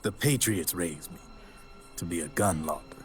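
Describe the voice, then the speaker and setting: Man acting as jaded gun launderer, low pitch, slangy showman delivery, video game cutscene, loud music behind. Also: moderate pace, close to an everyday voice. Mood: matter-of-fact confession. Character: slick, jaded male gun launderer, former child soldier.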